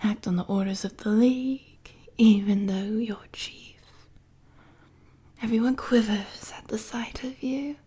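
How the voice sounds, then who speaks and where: A woman playing an unhinged, flirtatious female supervillain prisoner in a roleplay audio drama, with a breathy, husky, whispery voice and a teasing, mock-sweet manner. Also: a little high, fairly even intonation, mellow. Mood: taunting, coy. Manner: slow, teasing, sultry, mock-sweet taunting.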